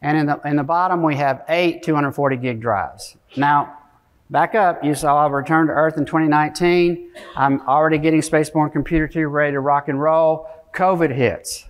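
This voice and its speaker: A man's voice: a little high, fairly even intonation, moderate pace. A middle-aged man giving an informal technical keynote in a conversational manner. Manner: conversational, frequent tag questions like "okay?" and "right?"; frequent throat clearing. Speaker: conference keynote speaker. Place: conference stage, speaking from a podium area to an audience.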